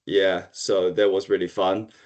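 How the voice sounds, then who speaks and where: Man, 40s, deep voice, casual, loose phrasing. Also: flat delivery, darkish tone. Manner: loose, chatty, slightly halting. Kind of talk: casual self-introduction.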